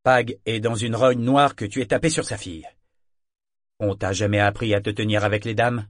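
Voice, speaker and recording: Homme de taverne bourru joué par le lecteur, parle fort, réprimande sans ménagement, voix un peu grave. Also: male voice, lowish voice, steady tone, moderate pace, no room sound, clean studio sound, close to an everyday voice. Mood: gruff. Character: gruff booted tavern man, blunt and scolding.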